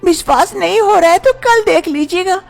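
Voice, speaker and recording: A woman's voice: very high-pitched, normal speed, vocal fry, soft background music, pitched above the performer's own voice. A woman voicing a timid, scheming young wife in a storybook tale, who frets and mutters to herself. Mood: eager. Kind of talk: storybook voice acting.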